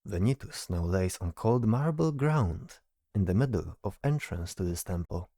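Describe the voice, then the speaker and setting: A man's voice: lowish voice, animated, moderate pace, dark tone, dry recording, studio quality. A man narrating a scripted fantasy story as the narrator of an audio drama.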